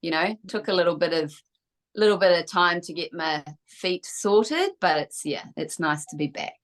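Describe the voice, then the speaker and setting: A middle-aged woman with a New Zealand accent and a distinctly low voice. She chats as an interview guest, in a reflective, open and conversational manner. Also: expressive, moderate pace. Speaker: guest, kinesiologist.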